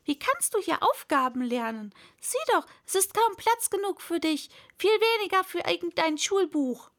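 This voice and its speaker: A woman's voice: high-pitched, slow pace. A woman voicing an earnest young storybook girl who muses aloud and scolds herself. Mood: self-scolding.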